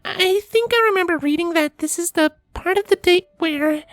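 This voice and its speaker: A man's voice: extremely high pitch, normal speed, fairly bright tone, dry recording, faint music behind, pitched above the performer's own voice. A man voicing a shy, flustered young female pony in a storybook reading, muttering hesitantly and trailing off. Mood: shy, nervous.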